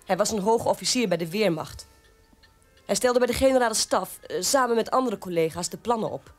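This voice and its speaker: Woman acting proud family defender, medium pitch, radio drama dialogue, music underneath. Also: fairly quick, close to an everyday voice.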